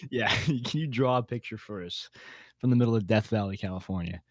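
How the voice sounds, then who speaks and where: A man's voice: medium pitch, lively intonation, measured pace, breathy edge, no room sound. A man hosting a podcast in casual, slangy chat, with a jokey, self-mocking manner. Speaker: podcast host.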